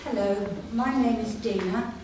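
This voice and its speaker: A woman reading her own poems at a live poetry evening, slow and measured, with light humour and no microphone. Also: medium pitch, very noisy. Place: community hall with live audience. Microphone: no microphone, voice projected. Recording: echoey hall, voice from far off.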